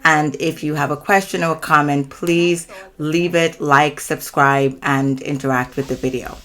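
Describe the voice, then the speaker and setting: Low-voiced Trinidadian woman telling a story. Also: steady tone, fairly bright tone. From Trinidad and Tobago. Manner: measured, earnest, explanatory. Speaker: channel host. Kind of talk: personal storytelling to camera.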